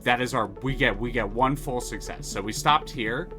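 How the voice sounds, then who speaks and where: A man's voice: medium pitch, normal speed. A man streaming a game, chatting casually and explaining the mechanics with enthusiasm and many restarts. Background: music.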